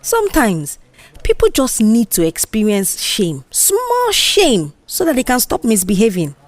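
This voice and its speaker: A woman performs a bold young Nigerian office woman in an audio drama. She is mischievous and sure of herself, coaxing and brushing off objections in an animated, unhurried way. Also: on the high side, no room sound, voice pushed up. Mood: coaxing, scheming. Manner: animated, dismissive, persuasive. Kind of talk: audio drama character dialogue.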